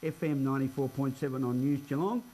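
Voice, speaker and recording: An Australian man hosting a radio news show, interviewing in a conversational but formally phrased, halting way. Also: medium pitch, dark tone, a little hiss or hum, low-quality recording. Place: radio studio.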